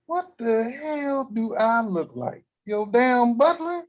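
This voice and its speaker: An actor playing a crude, cranky old grandfather at a table read, talking gruff and vulgar. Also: very high-pitched, animated, takes it slowly, exaggerated voice.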